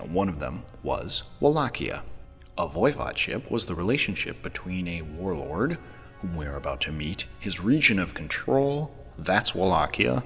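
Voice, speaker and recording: A man narrating a podcast episode in a measured, wry storytelling way. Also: low voice, gravelly, fairly bright tone, long reverb tail. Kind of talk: scripted narration.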